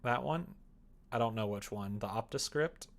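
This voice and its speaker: A man's voice: mid-range voice, expressive, normal speed, gravelly. A man streaming game development, chatting casually and thinking aloud in a rambling, playful way as he works at his computer. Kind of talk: casual livestream chat while working. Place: indoors at a computer desk.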